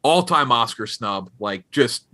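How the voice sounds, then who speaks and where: A man's voice: medium pitch, expressive, a little slow, sharp tone. A man hosting a casual podcast interview, chatty, asking long questions that restart and circle around.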